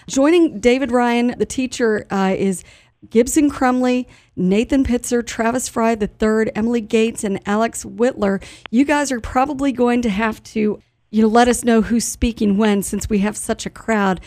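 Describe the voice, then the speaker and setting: A woman's voice: fairly high pitch, normal speed, darkish tone, no room sound. An American woman chatting informally as host of a live radio interview. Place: radio studio.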